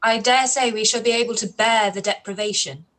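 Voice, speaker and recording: A woman's voice: on the high side, fairly quick, fairly bright tone, close to an everyday voice. A woman playing a spirited, sarcastic young lady. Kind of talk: live-read radio play.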